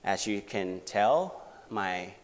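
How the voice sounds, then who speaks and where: Thai male preacher, unhurried, halting delivery with fillers, lowish voice, long room reverb. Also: animated. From Thailand. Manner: halting, earnest, many pauses and fillers. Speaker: visiting missionary preacher. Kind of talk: testimony in a church service. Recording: reverberant hall.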